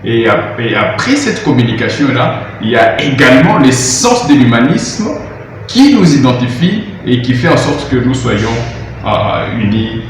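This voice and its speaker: A man's voice: medium pitch, sing-song, unhurried, a little hiss or hum. A man speaking as a linguist at a conference, earnest and hesitant with many fillers. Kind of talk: interview remarks. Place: hall at a museum conference. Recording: roomy echo from far off mic.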